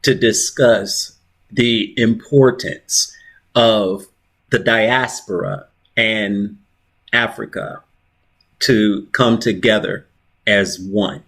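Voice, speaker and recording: A man hosting an interview on a video call, soft-spoken and stammering a little as he starts his sentences. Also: lowish voice, fairly even intonation, drawn-out words, breathy edge. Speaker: host. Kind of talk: conversational interview.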